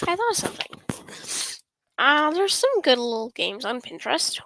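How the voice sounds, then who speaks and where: A woman's voice: sits high, very animated, moderate pace, noisy background. A woman livestreamer chatting casually and unscripted, thinking aloud in a scattered, apologetic way. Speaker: live streamer.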